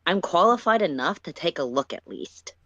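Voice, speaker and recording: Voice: medium pitch, expressive, moderate pace, fairly bright tone, no room sound, lo-fi sound, pitched above the performer's own voice. A reader voicing an exasperated, wry male alien crewmate who bosses and takes care, dry and sardonic, in a read-aloud story. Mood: dry, insistent.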